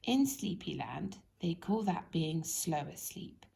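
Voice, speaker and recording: Creaky-voiced woman reading a bedtime story. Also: on the low side, big pitch swings, slow pace, dark tone. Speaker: storybook reader. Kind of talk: expressive children's bedtime story narration.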